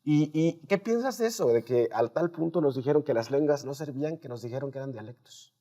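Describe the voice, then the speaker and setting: A man's voice: on the high side, lively intonation, a little fast, warm tone, dull audio. A man with a Mexican accent hosting a conversational interview, explaining emphatically and checking with tag questions. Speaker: host.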